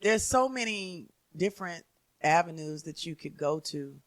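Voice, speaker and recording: A woman's voice: fairly low pitch, expressive, normal speed, no room sound. A woman comedian chatting casually as a guest, playful and emphatic, often repeating herself. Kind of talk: casual chat.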